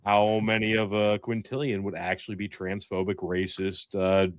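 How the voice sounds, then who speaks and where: A man's voice: lowish voice, little pitch movement, normal speed, dry recording. A man co-hosting a podcast, trading casual comedic banter in a joking, mock-serious manner. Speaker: podcast co-host.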